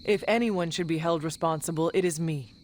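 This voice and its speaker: A man voicing a solemn, dutiful warrior hero in a game, speaking formally and deliberately. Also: on the high side, a little hiss or hum, close to an everyday voice. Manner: formal, deliberate, few contractions.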